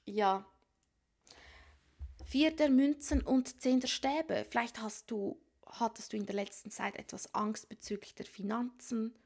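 Woman tarot reader with a cold, gravelly and a bit high, casual and musing, drops into whispers. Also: slow pace, long pauses, darkish tone. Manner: musing, thinks aloud, frequent whispered asides. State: has a cold. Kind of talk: casual tarot reading to viewers. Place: small room at a card table.

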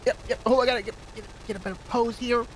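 A young man giving silly, excitable improvised roleplay commentary as co-host of a gaming video, often repeating phrases. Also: very high voice, very fast, slight background noise, rough recording.

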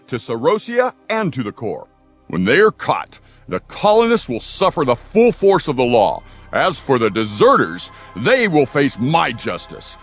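A man's voice: medium pitch, a bit bright. A man playing a stern, pompous, unstable military lieutenant in an audio drama. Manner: severe, pompous, commanding. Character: stern, unstable male lieutenant obsessed with traitors.

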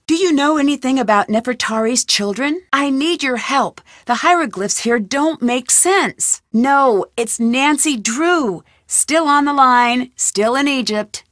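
A woman's voice: a little high, fairly bright tone, no room sound, studio quality. An actress voicing a curious young woman detective, asking polite, inquisitive questions in recorded game lines.

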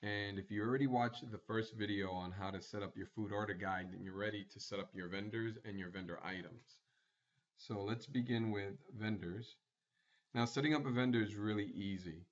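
A man presenting a screencast tutorial, walking through software setup step by step in a plain instructional manner. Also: on the low side, moderate pace, lo-fi sound.

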